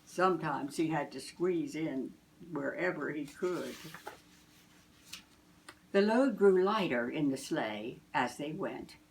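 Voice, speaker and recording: An older woman reads a children's story as a grandmother would. Her voice is low, and she takes her time, telling it very slowly with deliberate expression. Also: lively intonation, vocal fry, a little mellow, slight background noise, low-quality recording. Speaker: grandmother reading aloud.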